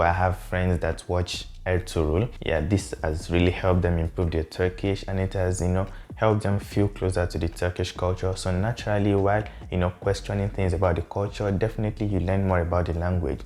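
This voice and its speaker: Deep-voiced young man explaining to camera. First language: Yoruba. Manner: relaxed, friendly explaining, slows to spell out words. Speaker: language vlogger. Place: small indoor room. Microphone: lapel mic.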